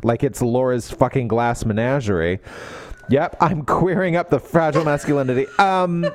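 A man's voice: mid-range voice, moderate pace. A man co-hosting a podcast, giving a casual comedic book recap, sarcastic and mockingly acting out the characters' lines. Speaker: podcast co-host.

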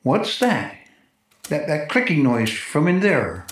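Fretful, excitable homeowner, wildly swooping. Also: male voice, a little high, slow pace, slight background noise. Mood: uneasy. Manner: stammering, agitated. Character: nervous, excitable, fretful male house owner. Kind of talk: 1940s radio drama acting. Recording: roomy 1940s radio recording, music behind.

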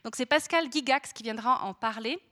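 A woman's voice: on the high side, normal speed, pushed and bright. A woman hosting an event, making semi-formal announcements and sometimes searching for her words. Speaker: event host introducing a debate.

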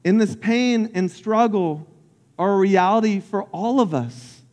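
A man's voice: high voice, unhurried, a little mellow, long reverb tail. A man from Central Florida, a pastor giving an earnest, personal sermon that builds in intensity.

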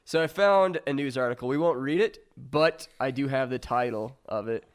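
A young man hosting a crude, casual podcast chat, leading the segments and laughing loudly between jokes. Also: medium pitch, normal speed. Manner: loud laughing, animated, leads the segments. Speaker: podcast host. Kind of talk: crude casual group banter.

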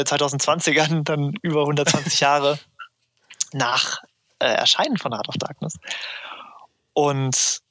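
A man's voice: mid-range voice, fairly bright tone, no room sound. A man hosting a podcast sums up an interview clip, speaking hesitantly with many fillers. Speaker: podcast host. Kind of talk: podcast commentary.

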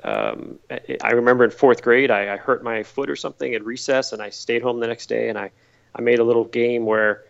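A middle-aged man from Massachusetts whose voice has a gravelly, rough edge. He answers interview questions as easygoing stories, often repeating a word while he gathers his thoughts. The sound is slightly dull and lo-fi. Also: medium pitch, fairly quick. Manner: relaxed storytelling, repeats words while thinking. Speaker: podcast guest.

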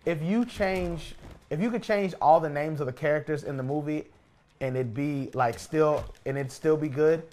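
A man's voice: on the high side, moderate pace, darkish tone. A man co-hosting a podcast in casual debate, opinionated and argumentative, often stammering into restarts. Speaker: podcast co-host. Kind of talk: casual debate while gaming.

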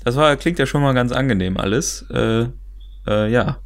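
A man's voice: mid-range voice, normal speed, vocal fry. A young man, chatting casually as a podcast host. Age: young adult. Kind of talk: casual chat.

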